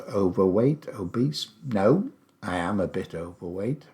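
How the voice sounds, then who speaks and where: A man's voice: sits low, creaky. A man in his seventies, host of a podcast, talking about himself in a chatty, rambling way with wry asides. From England. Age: 70s. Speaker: podcast host, English teacher. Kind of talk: casual personal monologue.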